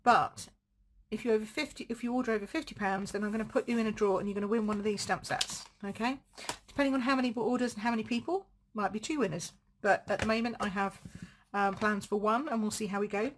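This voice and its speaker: A woman with a croaky throat, chatting casually as she demonstrates card making at home. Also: mid-range voice, fast, background noise. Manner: chatty, rambling, thinks aloud while crafting. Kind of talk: casual live craft demo.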